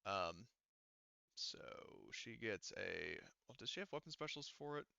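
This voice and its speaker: A man's voice: fairly low pitch, lively intonation, normal speed, vocal fry, pushed and bright, clean tone, no echo, highs cut off. A man acting as game master in a casual tabletop game, narrating and working out rules aloud in an informal, sweary way. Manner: informal, profane.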